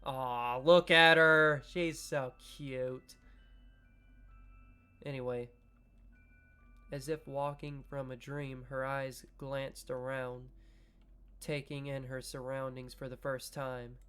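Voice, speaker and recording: A man reading a visual novel aloud in a slow, measured way, breaking off into joking asides about his choices. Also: mid-range voice, level tone, pushed and bright, clean tone, faint music behind. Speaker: gaming video creator.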